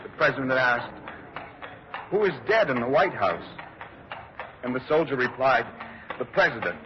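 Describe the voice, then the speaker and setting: A man acting a grief-shaken presidential secretary in a vintage radio play. He has a mid-pitched voice and a solemn, halting delivery, on a muffled, faintly noisy recording. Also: animated, lightly acted. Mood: grave, haunted. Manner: halting, solemn, repeats words.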